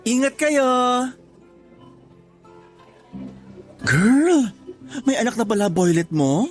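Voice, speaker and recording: An actor voicing a woman who is a teasing, blunt office friend, with exaggerated, drawn-out delivery in a radio drama. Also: a little high, sing-song, a little mellow, faint music behind. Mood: blunt, concerned. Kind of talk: Tagalog radio drama dialogue.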